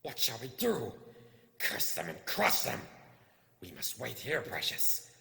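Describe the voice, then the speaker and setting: A man's voice: mid-range voice, huge pitch swings, slow, creaky, pushed and bright, exaggerated voice. A man voicing a wretched, sly, fearful cave creature in an audiobook, hissing and whispery, muttering to itself in broken, repetitive phrases. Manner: broken grammar, repeating itself, weepy and muttering. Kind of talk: audiobook character voice. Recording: echoey room with long reverb.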